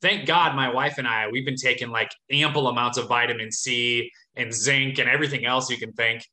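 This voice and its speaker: A man's voice: medium pitch, fairly even intonation, a little fast, sharp tone. A man, hosting a casual interview over a video call. He talks in an upbeat, rambling way with lots of fillers. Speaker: YouTube host and interviewer. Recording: echoey room.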